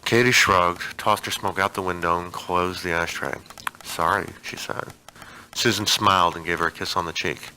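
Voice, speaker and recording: A man narrates fiction in a low register with a bright, forward edge to his voice. He reads scripted prose in the manner of an audiobook. Clear background noise runs under the recording. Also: steady tone, moderate pace, low-quality recording. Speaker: narrator reading fiction aloud.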